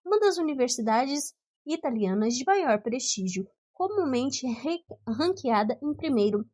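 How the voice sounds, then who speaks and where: A woman's voice: sits high, sing-song, a little slow, a little mellow, full character voice. A Brazilian woman reading the dialogue of a shy, nervous young man who banters cheekily, in an audiobook. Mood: triumphant teasing.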